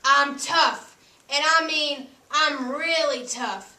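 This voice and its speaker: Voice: sits high, slow pace, sharp tone, echoey room, far from the mic, pitched above the performer's own voice, exaggerated voice. Haughty, boastful big goat. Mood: haughty, threatening. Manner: boastful, taunting, chanting. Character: huge, haughty, tough male billy goat bully.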